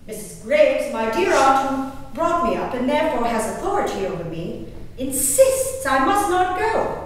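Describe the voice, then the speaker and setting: A woman playing an excitable, willful young gentlewoman in a dramatic stage monologue, expressive, with emphatic pauses, recorded from far off in an echoey hall. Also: sits high. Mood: defiant, excited. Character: Elizabeth Simcoe, excitable, willful young English gentlewoman diarist. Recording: distant, echoey hall recording, faint noise.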